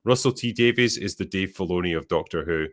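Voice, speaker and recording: A man's voice: sits low, fairly quick. A man hosting a podcast, reading out viewer messages and chatting casually, with some offhand swearing. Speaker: podcast host.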